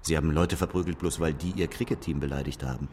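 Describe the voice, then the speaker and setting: Casting: insistent, accusing interrogator, very low register, radio play, long reverb. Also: male voice, fairly even intonation, fast, a little mellow, slight background noise. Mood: sardonic. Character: hard, relentless male interrogator confronting an aged knighted author. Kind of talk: German radio drama acting. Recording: long reverb with music behind.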